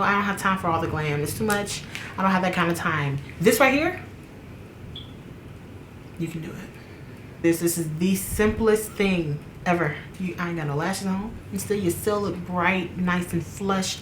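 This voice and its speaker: A woman's voice: medium pitch, normal speed, slight background noise, poor audio. A woman beauty vlogger giving a casual, upbeat makeup tutorial, chatting straight to her viewers.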